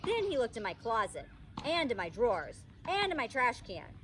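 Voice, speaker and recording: A woman teacher reading a children's storybook aloud in an expressive, childlike first-person narration. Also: fairly high pitch, moderate pace. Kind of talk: animated storybook read-aloud. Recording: distant microphone, faint background noise.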